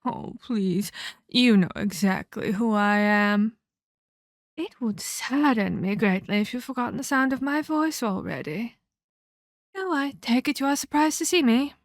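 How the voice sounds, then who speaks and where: A woman's voice: a little high, animated, no echo, clean studio sound. A woman voicing a menacing female vampire captor in a scripted ASMR roleplay, theatrical and mockingly sweet as she scolds and condescends. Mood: condescending, sardonic. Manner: theatrical, mock-polite, slow deliberate scolding.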